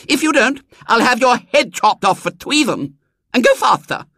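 A man's voice: extremely high pitch, big pitch swings, normal speed, sharp tone, dry recording, studio quality, pitched above the performer's own voice, heavily acted. A man voicing an imperious, spoiled little girl who plays at royalty in a children's storybook, bossy, demanding and haughty.

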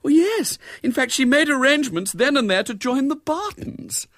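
A fretful storybook mother , acted by a man. Her voice is high and plaintive, moaning her worries with wide rises and falls. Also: huge pitch swings, normal speed, no room sound, studio quality. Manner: groaning, plaintive. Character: anxious, fretful middle-class wife and mother, moaning.

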